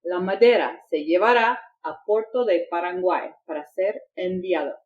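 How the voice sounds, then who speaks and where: A woman playing a news reader, delivering a formal, measured scripted report on a slightly lo-fi recording. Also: on the low side, natural voice. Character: female Latin American news reader, formal. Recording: slightly dull, lo-fi broadcast sound.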